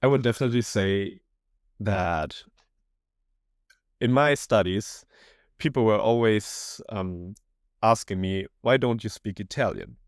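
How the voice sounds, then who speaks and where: A young man from Germany, German his first language, speaks in a fairly low voice. He talks casually as a podcast guest, hesitating and restarting as he works through ideas. The sound is dry and close. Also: unhurried, long pauses, no room sound. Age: young adult. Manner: hesitant, restarts often, thinks aloud. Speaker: guest researcher.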